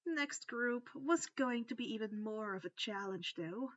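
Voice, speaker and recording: A woman's voice: a little high, expressive, normal speed, fairly bright tone, no echo, lo-fi sound. A woman reading a scripted story aloud as its narrator. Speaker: narrator of fan fiction.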